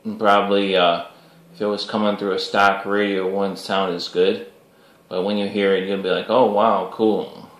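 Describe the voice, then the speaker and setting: A man chatting casually as a CB radio operator, easygoing and a little halting, repeating himself. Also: on the low side, little pitch movement. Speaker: CB radio operator making a video. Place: radio station set-up, recorded on camera. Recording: roomy, echoing home radio station.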